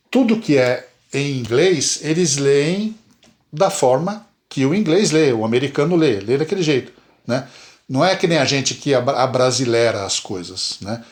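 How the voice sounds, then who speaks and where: A Brazilian man from Brazil co-hosting a casual podcast chat, animated and emphatic, often repeating words. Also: mid-range voice. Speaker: podcast co-host.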